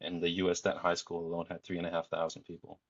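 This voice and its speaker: Easygoing man, low voice, muffled sound. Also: monotone, fast talker, husky, dry recording. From Denmark. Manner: frequent fillers and restarts, easygoing. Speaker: podcast guest. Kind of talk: relaxed conversational storytelling.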